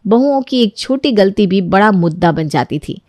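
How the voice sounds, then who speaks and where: A woman narrating a moral cartoon story in an even storytelling manner. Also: mid-range voice, normal speed, dry recording.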